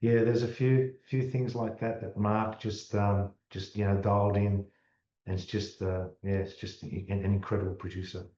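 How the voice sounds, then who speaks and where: An Australian man with a low, mellow voice talks about his music in a relaxed way, often repeating words as he restarts a thought. He sits back from the mic, and the room sounds echoey and slightly muffled. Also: normal speed. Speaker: musician, interview guest. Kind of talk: casual interview chat. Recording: distant, dull, roomy sound.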